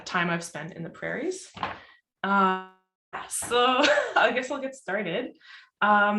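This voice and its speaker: A woman's voice: mid-range voice, fairly bright tone, echoey room. A young woman from Southern Ontario introducing herself and her poems on a video call, chatty and laughing often, with many ums and likes. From Southern Ontario, Canada. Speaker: poet reading at a festival. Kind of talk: casual intro then poetry reading. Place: home, joining remotely.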